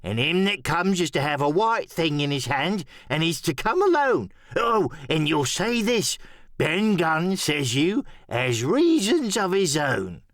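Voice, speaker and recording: Crazy old marooned pirate for an audiobook, high voice all over the place, sly, nervy, rambly sailor talk. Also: male voice, big pitch swings, a little slow, fairly bright tone, no echo, clean studio sound. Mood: wily, insistent. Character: wily, anxious, half-crazed old marooned pirate castaway. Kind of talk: audiobook radio play acting.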